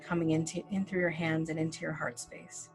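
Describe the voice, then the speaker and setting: Gentle woman guiding a meditation over music. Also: deep voice, flat delivery. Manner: slow, gentle, soothing instructions with long pauses; casual fillers like "like". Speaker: meditation guide. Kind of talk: guided meditation. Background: soft background music.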